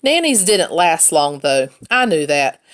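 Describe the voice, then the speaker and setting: A woman's voice: deep voice, big pitch swings, a little slow. A woman reading a children's novel aloud as a first-person storyteller. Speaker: read-aloud narrator, teacher.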